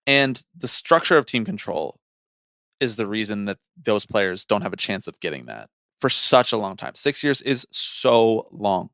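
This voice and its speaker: A man's voice: on the low side, animated, a little slow, vocal fry, a bit bright, no echo, clean studio sound. A young man co-hosting a casual sports podcast, giving his analysis in an opinionated, emphatic way. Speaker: podcast co-host.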